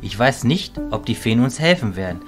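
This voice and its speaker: Guy playing calm wise mentor in a fantasy audio play, measured and reasoning, music going behind him. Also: mid-range voice, lightly acted. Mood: frank, reassuring. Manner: measured, philosophical, reassuring.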